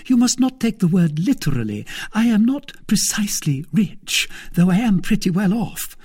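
A man's voice: high voice, sing-song, normal speed, darkish tone, slightly rough, voice pushed up, heavily acted. A man voicing a lovesick, jealous, tight-fisted married woman in a storybook, pleading and indignant in turn. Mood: piqued. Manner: pleading, indignant, emotionally overwrought.

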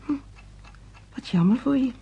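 An actress playing a gentle, puzzled wife in a radio play, softly and tentatively questioning her husband. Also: high voice, expressive, normal speed, creaky, husky, dull audio, full character voice. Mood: gentle, concerned. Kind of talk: naturalistic radio play acting.

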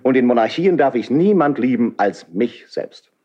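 An actor playing a proud, fervent, idealistic nobleman in a classical verse radio drama, with deliberate declamatory phrasing. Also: medium pitch, expressive, highs cut off, close to an everyday voice. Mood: fervent, defiant.

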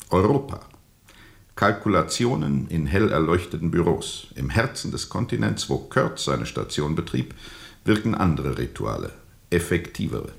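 An actor playing a weary, bitterly ironic steamboat captain in a radio play, speaking deliberately and sardonically with weighty pauses. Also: male voice, very deep voice, gravelly, exaggerated voice. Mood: brooding. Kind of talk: radio play acting, testimony under questioning.

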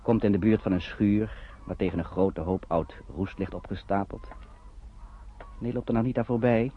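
A man's voice: fairly low pitch, steady tone, normal speed, a little hiss or hum. A man narrating a radio play in a dramatic, scripted storytelling style. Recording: muffled, phone-like old radio recording.

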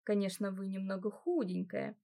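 A woman's voice: medium pitch, steady tone, moderate pace, gravelly, warm tone, natural voice. A woman voicing a polite, slightly hesitant middle-aged housekeeper. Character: fussy attentive female housekeeper, middle-aged.

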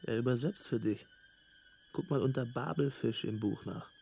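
Man playing a mellow, unflappable space traveller. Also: medium pitch, moderate pace, vocal fry, a little hiss or hum, natural voice. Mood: calm, wry. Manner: laconic, matter-of-fact, deadpan. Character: unflappable, wry male space traveller explaining things. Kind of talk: radio drama acting.

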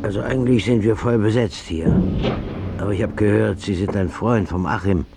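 A deep-voiced, dry site boss. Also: male voice, moderate pace, gravelly, very noisy. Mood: businesslike. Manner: laconic, matter-of-fact. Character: dry, businesslike male building-site boss. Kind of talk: naturalistic radio drama acting.

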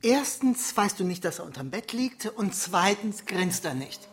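An actor playing a devoted, self-deprecating middle-aged silent-film director in a radio play, with deliberate, theatrical phrasing. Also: male voice, high-pitched, sing-song, fairly bright tone, soft background music, exaggerated voice. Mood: urging, coaxing. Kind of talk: first-person radio play narration and dialogue.

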